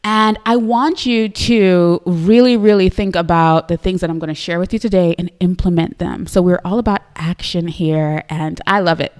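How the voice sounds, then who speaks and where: A woman's voice: on the low side, steady tone, normal speed, few pauses, a little mellow, clear, resonant tone. A young woman with a Trinidadian accent hosting a live stream show, talking to her viewers in an upbeat, chatty way and often tagging "right?" onto her points. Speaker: host of a live business show.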